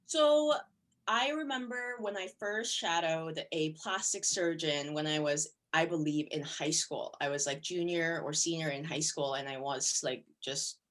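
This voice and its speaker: A woman's voice: sits low, measured pace. A young woman from Korea, a surgical resident, chatting casually in an interview and filling her answers with "you know" and "like". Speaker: plastic surgery resident.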